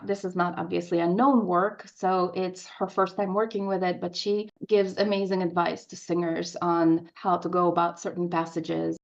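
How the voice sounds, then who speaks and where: A woman's voice: on the low side, flat delivery, moderate pace, creaky, hardly any pauses, muffled recording. A woman speaking as an interview guest, an opera composer in conversation on the radio.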